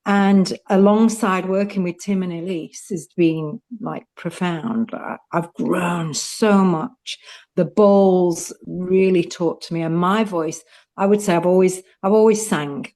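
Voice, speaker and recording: A woman's voice: on the low side, fairly even intonation, takes it slowly, darkish tone. A middle-aged woman, a student, speaking heartfelt and rambling on a video call. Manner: heartfelt, rambling, laughs easily. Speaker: student and participant. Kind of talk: casual testimonial.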